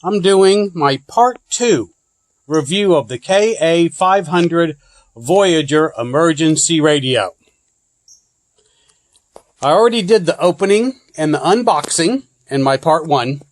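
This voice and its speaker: Higher-pitched man reviewing a product. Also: dry recording. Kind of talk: informal product review walkthrough.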